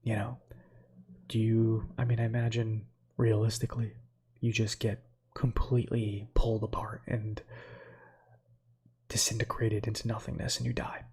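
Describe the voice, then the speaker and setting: A man in his thirties whose first language is Slovak, whispering softly as an ASMR streamer who reads space facts with chatty, meandering asides to viewers. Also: lowish voice, monotone, normal speed, pauses often. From United States. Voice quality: breathy whisper.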